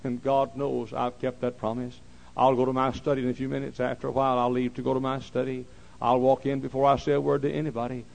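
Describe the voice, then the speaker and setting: Man preaching, hushed to shouting. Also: medium pitch, level tone, gravelly, rough recording. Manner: swings from hushed, slow talk to loud shouting; repeats phrases for emphasis. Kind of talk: sermon.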